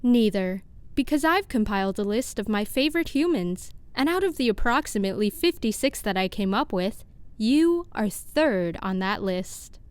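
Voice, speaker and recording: A woman's voice: mid-range voice, animated, normal speed, clean tone, no room sound, natural voice. A woman playing an earnest, literal-minded scientist in an audio drama, with formal, precise wording. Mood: sincere. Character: earnest, literal-minded female lab scientist named Sophie. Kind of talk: scripted audio drama acting.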